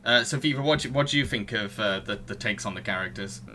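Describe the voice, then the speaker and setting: A man's voice: fairly low pitch, quick pace, pushed and bright, a little hiss or hum. A young man hosting a casual film discussion podcast. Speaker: podcast host.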